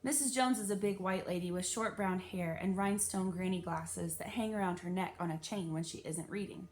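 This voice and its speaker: A woman narrating a children's novel aloud in an expressive, animated and emphatic storytelling style. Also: lowish voice, brisk.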